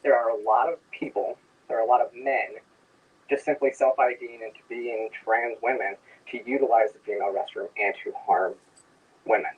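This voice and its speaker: Brief: earnest male debater, husky, phone-quality audio. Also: medium pitch, steady tone, moderate pace. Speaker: debate participant. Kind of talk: debate argument.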